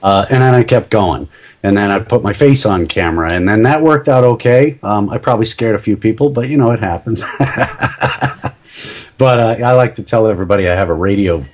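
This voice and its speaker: A middle-aged man talking casually as a podcast guest, chatty and self-deprecating, laughing at himself often. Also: on the low side. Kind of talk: casual interview chat.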